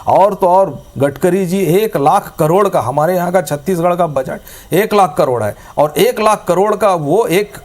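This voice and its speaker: A man's voice: high-pitched, unhurried, darkish tone. A man debating as a politician, insistent and repeating his phrases.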